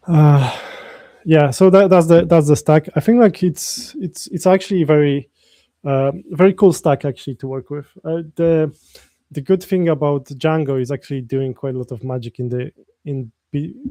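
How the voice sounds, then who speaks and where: A man's voice: fairly high pitch, moderate pace, dark tone, no room sound. A man with a Polish accent, live-streaming his coding and chatting casually with viewers in a rambling way, often restarting his sentences. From Poland. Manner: rambling, many restarts, reads chat aloud.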